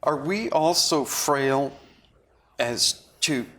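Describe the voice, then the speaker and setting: An actor at a table read playing a remorseful, philosophizing man, with a halting, confessional and earnest manner. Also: mid-range voice, fairly bright tone. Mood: earnest, pondering. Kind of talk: read-through of a stage drama script. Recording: clearly noisy room recording.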